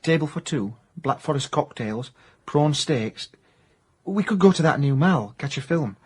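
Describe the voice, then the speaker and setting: Husky, lilting Yorkshire private eye. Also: male voice, on the high side, measured pace, no echo, studio quality. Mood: earnest. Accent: South Yorkshire. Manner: deadpan, mock hard-boiled narration, clipped phrases. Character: wry former-miner private eye, hard-boiled pretensions, hapless. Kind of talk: comic radio drama acting.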